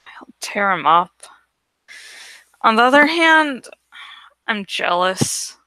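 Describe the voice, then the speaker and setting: A woman voicing a gentle, wistful teenage girl in a storybook reading, plain and hesitant in manner. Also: medium pitch, lively intonation, slow, dry recording, heavily acted, low-quality recording.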